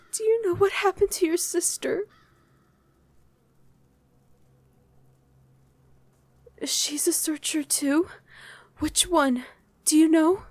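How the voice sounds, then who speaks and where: A woman voicing a guarded demon who was once a human mother, in an intimate ASMR roleplay with halting, emotional delivery. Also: very high voice, darkish tone, dry recording, exaggerated voice. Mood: tearful, overjoyed. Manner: halting, emotional, speaks directly to one listener.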